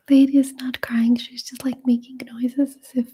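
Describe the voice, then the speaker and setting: A young woman whispering softly in a breathy voice, a native Russian speaker chatting unhurriedly as she answers viewers' questions in an ASMR stream. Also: high voice, flat delivery, slight background noise. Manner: soft, unhurried, chatty, laughs often.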